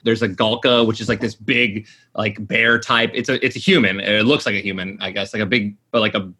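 A man's voice: on the low side, steady tone, fairly quick, bright, forceful tone, slightly rough. A man in his late twenties chatting casually, rambling with lots of fillers and restarts. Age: 20s. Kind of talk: casual chat.

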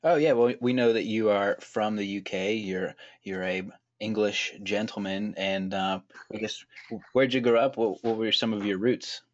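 A man's voice: fairly low pitch, fairly even intonation, normal speed, lo-fi sound. A man hosting a casual interview, asking friendly, rambling questions with fillers. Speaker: podcast host.

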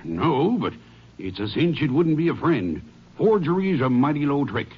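An actor playing a hospitable, indignant Texas rancher in a 1950s radio drama. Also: male voice, fairly high pitch, very animated, normal speed, husky. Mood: affable, indignant. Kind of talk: 1950s radio western acting. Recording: dull old radio recording.

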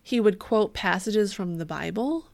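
A woman's voice: medium pitch, measured pace, creaky, no room sound. A woman co-hosting a podcast, telling a story in a casual, joking, slangy and sweary way. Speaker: podcast co-host.

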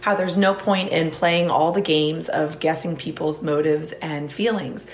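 A woman with a low voice hosts a podcast in a chatty, casual way, peppering her talk with "like" and small restarts. Her voice is a little hoarse. The recording sounds echoey, with faint noise underneath. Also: steady tone, unhurried. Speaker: podcast host. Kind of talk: casual interview chat and solo outro.

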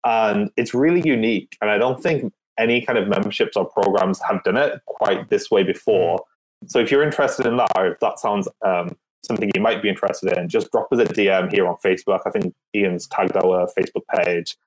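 A man with a fairly low voice speaking as a podcast guest. He is upbeat and energetic as he pitches his next project, and the talk stays casual throughout. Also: fairly quick, low-quality recording. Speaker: guest, business event organizer.

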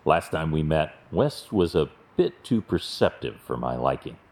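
Male actor as brooding masked vigilante: extremely deep, clipped sentences, guarded and restrained. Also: sing-song, measured pace, a little mellow, exaggerated voice. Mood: stoic, guarded. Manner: terse, restrained. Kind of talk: audio drama acting.

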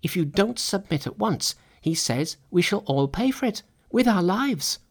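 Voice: mid-range voice, animated, measured pace, pitched above the performer's own voice. A narrator of unclear sex, voicing an alarmed monster underling urgently bringing bad news in a storybook tale. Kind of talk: dramatised storybook reading.

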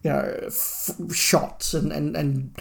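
A man's voice: fairly high pitch, flat delivery, slow pace, gravelly, a bit bright. A man co-hosting a podcast in a casual fan discussion, rambling and thinking aloud. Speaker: podcast co-host.

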